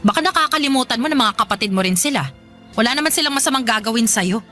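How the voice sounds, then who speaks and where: A woman's voice: a little high, animated, fast, faint music behind. A woman playing a defensive, argumentative middle-aged mother who scolds and dismisses, in a Filipino radio drama. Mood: dismissive scolding. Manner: argumentative, sharp questioning retorts.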